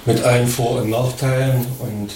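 A man from Saxony speaking haltingly in an interview, searching for words. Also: mid-range voice, level tone, darkish tone. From Schkeuditz, Saxony, Germany. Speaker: interviewee, local resident. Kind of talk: spontaneous interview talk. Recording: echoey, distant mic, clear noise.

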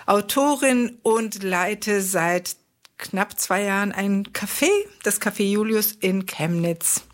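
A woman from Israel speaks as a guest in a studio interview. She explains her points carefully and at length, pausing often with hesitations as she thinks. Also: medium pitch. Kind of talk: conversational interview.

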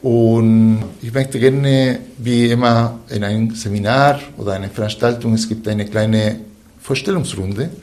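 A man with a foreign accent in German, a non-native speaker hosting a radio interview in halting, deliberate phrases. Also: medium pitch, fairly even intonation, a little mellow. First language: not German. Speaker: radio show host.

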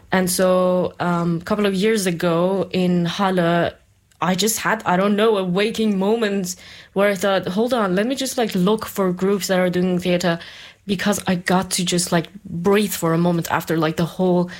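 A woman from Iran talking casually as an interview guest, chatty, with "like" sprinkled through her sentences. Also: fairly low pitch. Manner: chatty, uses "like" often. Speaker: guest, community theater performer.

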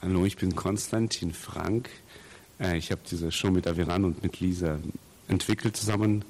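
A man's voice: sits low, fairly even intonation, moderate pace, a little mellow, slight background noise. A man from Russia who grew up in Austria, a theatre maker giving reflective, explanatory interview answers and searching for his words. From Russia, raised in Austria.

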